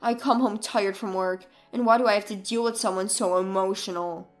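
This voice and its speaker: A woman's voice: mid-range voice, steady tone, moderate pace, faint music behind, pitched below the performer's own voice, close to an everyday voice. A woman voicing a cold, scornful husband in dramatised story dialogue. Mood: annoyed, scornful. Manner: scornful, belittling. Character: cold, sneering husband, adult man, easily annoyed.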